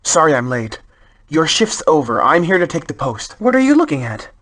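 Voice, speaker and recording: Higher-pitched world-weary soldier. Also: male voice, big pitch swings, moderate pace, a bit bright. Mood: weary, resigned. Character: dutiful, world-weary wounded soldier on watch. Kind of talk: video game voice acting.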